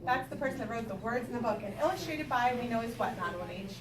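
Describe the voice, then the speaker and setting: A woman's voice: on the high side, expressive, fast talker, a crowd in the background. A woman teacher reading aloud to young children, stopping to explain and put questions to them in an instructional way. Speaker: elementary school teacher. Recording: echoey room, distant mic, noisy background.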